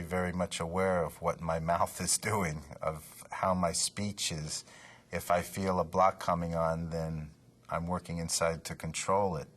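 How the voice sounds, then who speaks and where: A man in his fifties speaks in a personal interview, his voice sitting very low. He stutters openly, repeating words and stalling on blocks before pushing on. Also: fairly bright tone. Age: 50s.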